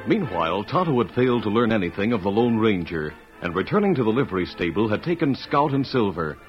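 A man narrating a scripted old radio western in a measured, dramatic storytelling style. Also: lowish voice, sing-song. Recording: muffled old radio, music behind.

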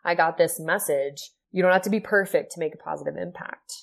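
A woman from Ohio with a low, soft-spoken voice talks as a podcast guest. She tells her story earnestly and reflectively. She often fills her speech with "like" and "you know". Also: fairly quick, vocal fry. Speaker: podcast guest, yoga and sound healing teacher.

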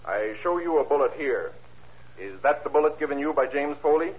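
An actor playing a formal, methodical courtroom prosecutor in 1930s radio style, questioning deliberately and crisply. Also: male voice, a little high, animated, natural voice. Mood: formal, probing. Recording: muffled old radio recording.

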